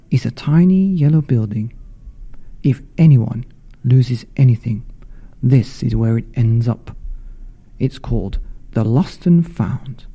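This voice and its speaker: A man with a husky voice narrating a children's bedtime story for a podcast. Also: mid-range voice, mellow.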